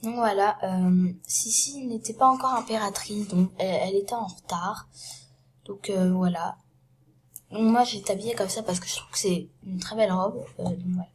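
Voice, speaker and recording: A woman with a cold retelling a story in a casual, halting way, often dropping to a hushed half-whisper and filling pauses with fillers. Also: mid-range voice, fairly even intonation. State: has a cold.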